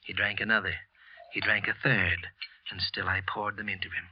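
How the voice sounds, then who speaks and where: An actor playing a nervous office employee confessing to murder, narrating in an old-time radio drama style, hushed and brooding, then agitated. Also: male voice, deep voice, little pitch movement, normal speed, breathy edge. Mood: cold, brooding. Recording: muffled old radio recording, music behind.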